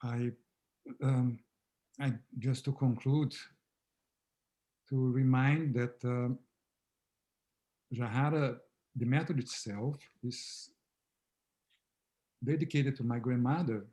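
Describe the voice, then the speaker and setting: Soft-spoken man with a mellow voice. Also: medium pitch, steady tone, slow, pauses often. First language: Portuguese. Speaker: aquatic therapy method founder, guest. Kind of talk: casual conversation on a video call. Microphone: video call.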